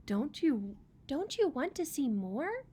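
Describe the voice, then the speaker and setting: A woman's voice: high voice, very animated, slow pace, dark tone, slight background noise, pitched above the performer's own voice, exaggerated voice. A woman voicing a small, eager, restless spotted beetle with a pleading, questioning manner in a picture book read-aloud.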